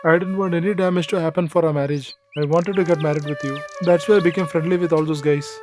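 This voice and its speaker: A man's voice: on the high side, fairly even intonation, fast, over music, lightly acted. A man playing a young man who loves the bride, pleading with her to marry his friend.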